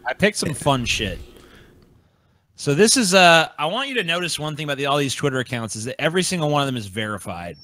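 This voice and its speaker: A man's voice: on the high side, sing-song, fast talker, pushed and bright, dry recording. A man co-hosting a podcast in casual, profane panel chat, with a sarcastic manner. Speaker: podcast co-host.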